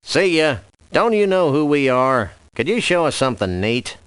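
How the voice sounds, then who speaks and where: A man voicing a laid-back cartoon dog detective in a video game, delivering scripted lines in a deadpan, dryly wordy manner. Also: on the high side, moderate pace, smooth, dry recording. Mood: casual, teasing. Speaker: freelance police detective.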